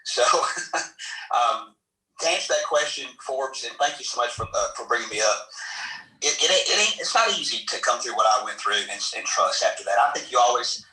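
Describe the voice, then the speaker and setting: A man speaking in a halting, earnest way as a guest in a live audio chat room, often repeating himself. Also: medium pitch, expressive, sharp tone. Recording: roomy, distant mic.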